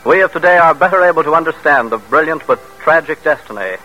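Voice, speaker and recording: A man's voice: a little high, animated, fast, pushed and bright, faint music behind. An American man hosting a 1940s radio program with formal, dramatic scripted narration. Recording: dull vintage radio recording.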